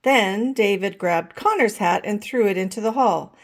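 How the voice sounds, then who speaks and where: A woman reading a children's picture book aloud as a storytime host, expressive and pausing to address young listeners. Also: medium pitch. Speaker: storytime reader.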